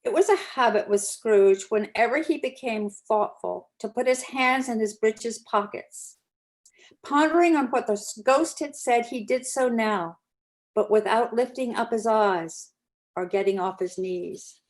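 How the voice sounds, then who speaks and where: A woman's voice: fairly high pitch, a little slow. A woman narrating a classic story aloud as an amateur dramatic reading.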